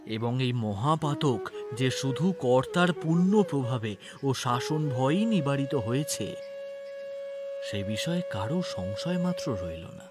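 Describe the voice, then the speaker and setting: A man's voice: mid-range voice, animated, measured pace. Male audio story narrator over music.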